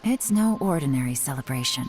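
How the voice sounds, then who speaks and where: An actress playing a cool, commanding female secret agent in a video game dub, measured and authoritative as she directs a covert operation, over game music. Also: rumbling low, lively intonation. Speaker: covert intelligence agent leading a surveillance operation. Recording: game soundtrack music underneath.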